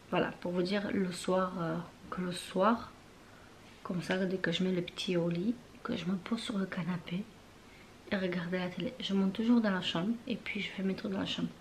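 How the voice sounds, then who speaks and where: A young woman talks casually in her bedroom, rambling through loose, trailing sentences full of fillers. She often drifts into a soft near-whisper. Faint room noise sits underneath. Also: on the low side. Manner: chatty and rambling, many fillers and trailing phrases. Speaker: beauty vlogger. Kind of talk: casual chat to camera.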